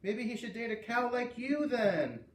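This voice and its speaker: A man's voice: very high-pitched, animated, unhurried, voice pushed up. A man reading the part of a mean, scheming schoolgirl who mocks her classmates in a snide way. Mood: mocking. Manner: snide, valley-girl filler 'like'. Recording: roomy, distant mic with faint noise.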